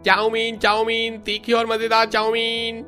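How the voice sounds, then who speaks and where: A man voicing a street food hawker who calls out his wares in an animated story. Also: very high-pitched, a little slow, voice pushed up, exaggerated voice. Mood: hawking. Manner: sing-out vendor's call. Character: loud male street chow mein hawker. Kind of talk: Hindi cartoon story dialogue. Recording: music behind the voice.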